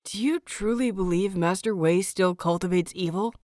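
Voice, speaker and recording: A woman voicing an earnest, determined and polite young male disciple in an audiobook story, pleading with measured restraint. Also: lowish voice, darkish tone, dry recording, clean studio sound, natural voice. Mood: calm, intense. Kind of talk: dramatised audiobook reading.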